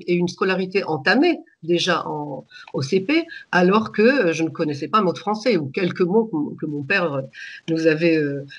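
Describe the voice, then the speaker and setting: A woman in her sixties from Egypt, whose first language is Arabic, calmly recalling her childhood as a radio guest, pausing and restarting often. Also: lowish voice. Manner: hesitant, many pauses and restarts. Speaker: guest, author. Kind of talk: reflective personal storytelling.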